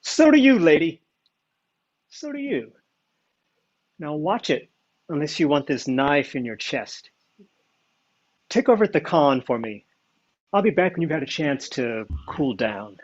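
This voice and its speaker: A man playing a coolly flirtatious, defiant starship lieutenant in an audio drama, with a deliberate, teasing delivery, on a dull recording. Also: a little high, very animated. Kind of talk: science fiction audio drama acting.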